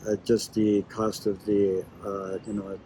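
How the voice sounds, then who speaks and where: Missionary man, deeper register, halting casual interview delivery, noticeable insect and vehicle ambience. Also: flat delivery, mellow, a little hiss or hum. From Ecuador. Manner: halting, searching for words. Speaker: missionary and Bible translator. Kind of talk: casual promo video interview.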